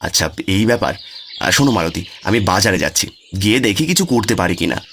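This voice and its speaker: A man's voice: sits low, expressive, fast talker, a bit bright, a little hiss or hum. A man voicing a poor, doting village father in a cartoon, humble and coaxing. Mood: puzzled, indulgent.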